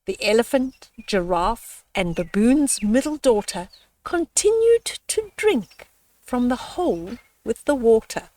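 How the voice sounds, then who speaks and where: An older woman hosting a children's storytime, reading a picture book aloud in a measured, dramatic way. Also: on the high side, very animated, dark tone, distant mic.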